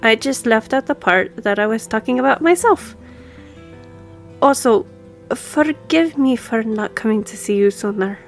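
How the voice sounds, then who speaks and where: A woman's voice: fairly high pitch, expressive, measured pace, soft background music. A woman playing a self-assured, friendly law firm boss in an audio roleplay, talking to the listener in a composed, personable way. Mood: friendly, explanatory.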